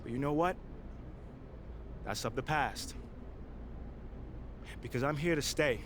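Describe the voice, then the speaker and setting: A man's voice: medium pitch, slow pace, noisy background, lightly acted. A man playing a driven, proud young American pro basketball player in a game cutscene, speaking earnestly and colloquially with emphasis. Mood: determined, pleading. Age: young adult. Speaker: video game actor playing the lead character. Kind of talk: naturalistic cutscene acting, impassioned speech.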